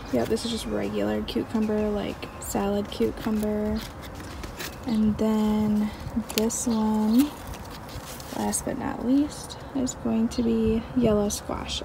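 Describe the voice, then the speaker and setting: A woman's voice: a little high, vocal fry, background noise. A young adult woman giving casual vlog narration as a homemaker. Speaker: homemaking vlogger.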